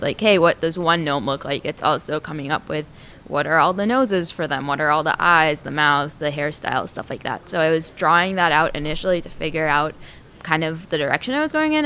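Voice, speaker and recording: A young woman speaks softly and hesitantly, her pitch sitting low for a woman. A faint rumble hums under the recording. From Israel, raised in the United States. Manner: soft-spoken, hesitant, many "um"s and laughs. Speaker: game artist. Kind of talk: interview answers.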